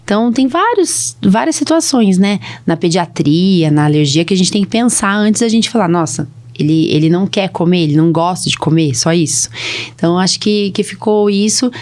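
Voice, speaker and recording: A woman's voice: lowish voice, lively intonation, moderate pace, darkish tone. A woman with a Brazilian accent hosting a podcast in a casual, conversational interview style. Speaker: podcast host, allergist doctor.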